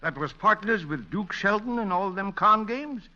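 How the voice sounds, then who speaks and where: A man's voice: high voice, expressive, normal speed, bright, forceful tone, exaggerated voice. A man playing a tipsy, blustering shipboard con man with stammering, interrupted speech in 1940s radio comedy style. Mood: flustered, chummy. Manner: stammering, hesitant, interjecting bursts. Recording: dull, lo-fi vintage radio recording.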